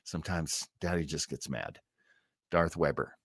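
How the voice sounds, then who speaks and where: A man's voice: a bass voice, unhurried, gravelly, fairly bright tone, breathy edge, no echo, studio quality. A man hosting a livestream in a casual, rambling, jokey way, often interrupting himself. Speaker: livestream show host.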